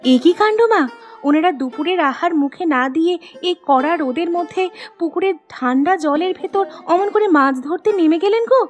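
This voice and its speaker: A woman plays a young village wife in an animated folk tale, very high in pitch. She sounds anxious and earnest, full of exclamations, with faint music underneath. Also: a little fast. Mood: surprised. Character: anxious young married village sisters, devoted to their husbands.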